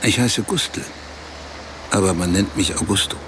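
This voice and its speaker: An actor playing a laconic, teasing Romanian street porter in a radio drama, mixing in Italian words, over faint street noise. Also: male voice, low-pitched, a little slow. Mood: calm teasing. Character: Romanian man, street porter, calm, sly.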